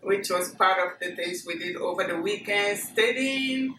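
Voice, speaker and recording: A middle-aged woman from Ghana with a Ghanaian accent, recalling her childhood in a measured, plain way with frequent fillers. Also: medium pitch, bright, forceful tone. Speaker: interviewee. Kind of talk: oral history interview. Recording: echoey room, distant mic, noisy.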